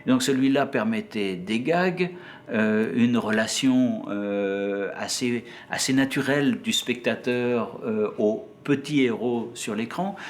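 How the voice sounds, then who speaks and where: A man being interviewed, recalling his work in a halting way with frequent pauses. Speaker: interviewee, screenwriter. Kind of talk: recollections in an interview. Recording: clear background noise with low rumble.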